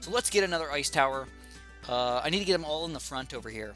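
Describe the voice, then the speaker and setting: Young male gaming YouTuber, slightly raspy, bright and excitable, casual commentary. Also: fairly high pitch, quick pace, faint music behind, low-quality recording. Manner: chatty and excitable, thinking aloud. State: slightly raspy from a sore throat.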